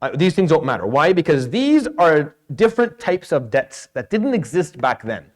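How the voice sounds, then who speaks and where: A man's voice: fairly high pitch, big pitch swings, normal speed. A man from Syria giving an informal lecture on Islamic law, blunt and emphatic, mixing Arabic terms into his English. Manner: blunt, emphatic, explains with role-played questions and answers.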